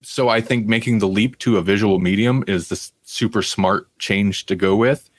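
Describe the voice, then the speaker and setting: Low-voiced man in casual podcast chat. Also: normal speed, dry recording. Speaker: podcast co-host. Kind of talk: casual review chat about TV.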